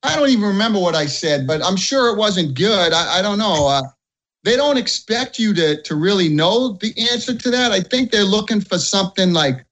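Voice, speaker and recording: A middle-aged man, a retired police officer from the Boston area, answering interview questions haltingly with many pauses and ums. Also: high voice, animated, a bit bright, rough recording.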